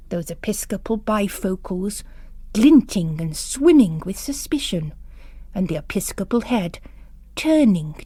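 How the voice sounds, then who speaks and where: A woman narrating literary fiction in a dramatic, expressive, deliberate way. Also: mid-range voice, no echo.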